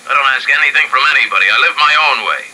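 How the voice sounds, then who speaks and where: An actor playing a gruff, menacing drifter who boasts and defies, in vintage radio drama style. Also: male voice, medium pitch, racing, pushed and bright, rough recording. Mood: defiant. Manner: swaggering, boastful, defiant.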